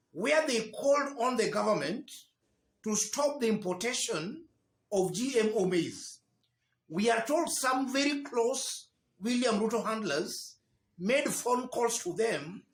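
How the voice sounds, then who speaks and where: High-pitched Kenyan man, slow political commentary. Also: a bit bright. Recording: echoey room.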